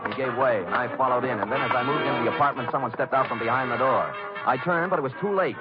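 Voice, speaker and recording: A man's voice: mid-range voice, fast, lightly acted. An American man playing a tough, wisecracking private detective in an old-time radio noir drama, speaking in a wry, clipped manner. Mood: grim, recounting. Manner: hard-boiled, wry, clipped. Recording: dull vintage radio recording with music.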